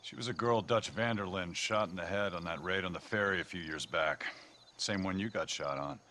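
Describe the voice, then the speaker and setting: A man playing an enigmatic, faintly menacing gentleman stranger in a western game, speaking in a measured, needling manner. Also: low-pitched. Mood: knowing, needling. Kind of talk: video game cutscene acting. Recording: faint outdoor insect noise.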